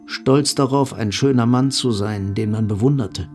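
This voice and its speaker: A man's voice: mid-range voice, moderate pace, over music. A man narrating literary fiction as part of an audio drama.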